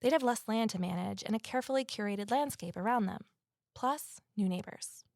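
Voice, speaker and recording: An actress playing an earnest woman amateur investigator in an audio drama, talking in a gentle, sincere, conversational way. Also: medium pitch, a little fast, vocal fry, no room sound, clean studio sound, natural voice. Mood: matter-of-fact, confiding. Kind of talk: audio drama dialogue and first-person narration.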